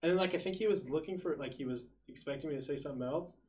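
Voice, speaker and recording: A man's voice: a little high, racing, darkish tone. A man in his twenties hosting a casual podcast chat, laid-back and swearing freely, in a small studio room. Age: 20s. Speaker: podcast host.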